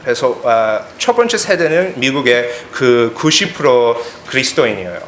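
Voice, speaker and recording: A young man with an American accent preaches with personal anecdotes, pausing often to search for words. His pitch sits in the middle for a man. The room echoes around his voice, with a little noise behind it. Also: sing-song, a bit bright. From United States, Korean immigrant family. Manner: halting, searching for words, simple phrasing.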